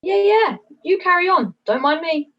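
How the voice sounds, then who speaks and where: Woman as high, eager, scatty puppet. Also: big pitch swings, normal speed. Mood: excited. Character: eager, scatty puppet show member interrupting. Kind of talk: scripted puppet comedy dialogue. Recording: slightly dull online-call sound.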